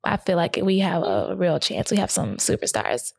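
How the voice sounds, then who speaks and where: A woman with a high voice co-hosting a podcast in casual, informal conversation. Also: very animated, normal speed, gravelly, breathy edge, dry recording. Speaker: podcast co-host. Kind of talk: casual banter.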